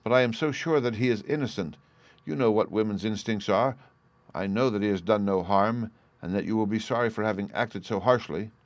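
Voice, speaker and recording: Man voicing an earnest young Victorian gentlewoman, pleading. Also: fairly low pitch, brisk, no room sound, natural voice.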